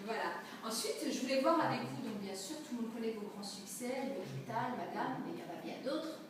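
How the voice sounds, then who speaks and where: High-voiced woman interviewer in an echoey room. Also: normal speed, a crowd in the background. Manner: friendly, prompting, light laughs. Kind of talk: casual interview. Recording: echoey room, far from mic, noisy.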